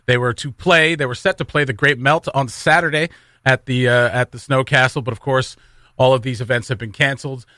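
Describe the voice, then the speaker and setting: A man hosts a morning radio show in a casual, conversational style. His voice is bright and forward at a medium pitch, and the studio sound is very dry. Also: steady tone, brisk, dry recording. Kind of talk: casual live radio banter and interviewing.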